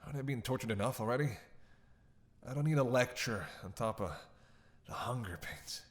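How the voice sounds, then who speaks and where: A man's voice: medium pitch, lively intonation, fairly quick, lightly acted. A man playing a captive vampire in a dramatic roleplay, witty, flirtatious and menacing, with a sarcastic, teasing drawl. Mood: bitter banter. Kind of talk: dramatic roleplay monologue to the listener.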